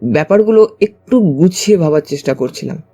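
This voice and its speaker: Lively, mellow male story narrator. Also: on the high side, fairly quick, soft background music. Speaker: story narrator, also voicing the narrating character. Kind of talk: dramatized first-person story narration.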